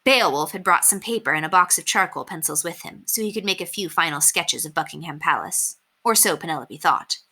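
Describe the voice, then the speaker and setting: A woman narrating a children's book aloud as an expressive storyteller. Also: fairly low pitch, fairly quick, hardly any pauses, husky.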